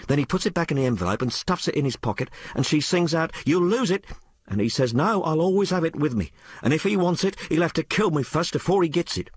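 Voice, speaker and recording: A man voicing a rough, frank working-class young woman in an audiobook, blurting out her tale in colloquial, ungrammatical speech. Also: a little high, animated, fast talker, few pauses, no echo, voice pushed up. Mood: frank, gossipy. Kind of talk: audiobook character dialogue.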